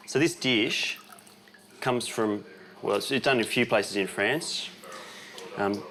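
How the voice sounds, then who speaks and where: A man's voice: on the low side, takes it slowly, fairly bright tone. A man presenting on camera, chatting enthusiastically and explaining food with casual fillers.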